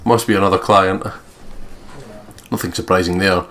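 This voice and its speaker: A man's voice: low voice, steady tone, moderate pace, sharp tone. A man playing through a game, reading its text aloud and adding sweary, jokey commentary. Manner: sweary, jokey, giggly, puts on mock quotes. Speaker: let's play commentator.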